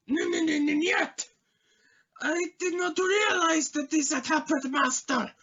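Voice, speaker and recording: An actor playing a fawning, anxious assassin who serves a cult, with theatrical servile pleading and a strained raspy voice, in an amateur radio play. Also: male voice, very high voice, a bit bright, muffled recording, full character voice. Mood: panicked, angry.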